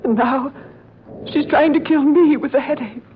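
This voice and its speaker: A superstitious servant woman, played by an actress in a vintage radio horror play. Her voice sits fairly high and comes out breathy and fearful, in halting, deferential phrases. The recording is muffled, with clear noise behind her. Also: close to an everyday voice. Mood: grave, fearful. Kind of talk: old radio horror drama acting.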